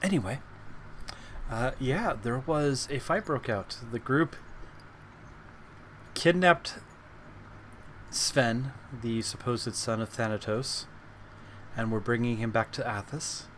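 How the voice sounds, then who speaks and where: A man's voice: medium pitch, slow pace, pauses often, a bit bright. A man chatting casually and recapping the story as game master and host of a tabletop game stream. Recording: clear background noise, live stream.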